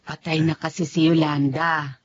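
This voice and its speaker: A woman's voice: low-pitched, level tone, normal speed, slightly rough, highs cut off. An actress with a Filipino accent playing a caring, solemn elderly mother and grandmother in a radio drama. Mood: sorrowful.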